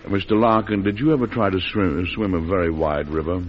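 Deep-voiced, genial American president played by an actor. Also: male voice, fast, close to an everyday voice. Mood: dryly evasive. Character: genial, unruffled, shrewdly evasive male US president. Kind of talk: old-time radio drama acting. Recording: dull old radio recording with hiss.

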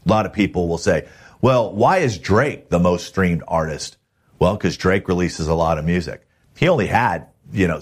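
Male podcast host, very low register, husky tone. Emphatic, plain-spoken motivational advice. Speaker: podcast host, music manager.

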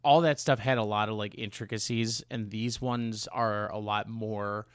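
A man speaking as a podcast co-host in casual chat, explaining things in a halting, rambling way. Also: lowish voice, fairly even intonation, clean tone, dry recording. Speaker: podcast co-host and songwriting musician.

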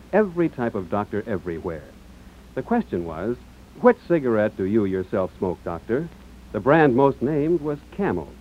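An American man delivers an emphatic 1940s radio advertisement. His medium-pitched voice moves up and down with lively intonation. The old recording is very thin and narrow. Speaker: commercial announcer.

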